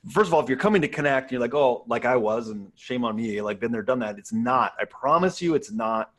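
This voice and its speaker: A man's voice: mid-range voice, expressive, fairly quick, fairly bright tone. A man hosting a podcast, chatting casually in an earnest, emphatic way and speaking directly to listeners. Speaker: podcast host. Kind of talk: casual interview chat.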